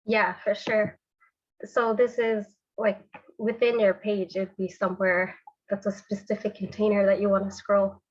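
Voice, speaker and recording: A woman with a stuffy, congested voice talks through a software demo one step at a time. Her pitch sits in the middle, and the call audio is a little dull. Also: a little slow. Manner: steady step-by-step explanation. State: congested from a cold. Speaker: developer demonstrating a technique. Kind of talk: screen-share software tutorial.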